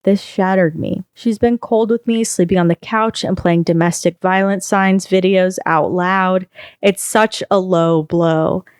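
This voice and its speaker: Female podcast reader, low and smooth, steady measured storytelling delivery. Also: no room sound, studio quality. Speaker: podcast co-host reading a story. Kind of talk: reading a first-person online post aloud.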